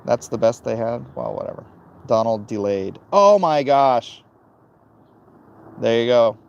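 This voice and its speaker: A man with a gravelly voice hosting a casual, opinionated livestream, answering chat in a blunt, dismissive way and muttering between thoughts. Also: medium pitch, animated, unhurried, lo-fi sound. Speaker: livestream host reading and answering chat comments.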